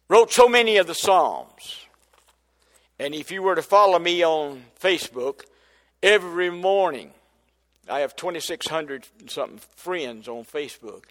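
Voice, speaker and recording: Older man, preacher, high voice, long hall echo, folksy sermon storytelling. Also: fairly bright tone. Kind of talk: sermon testimony.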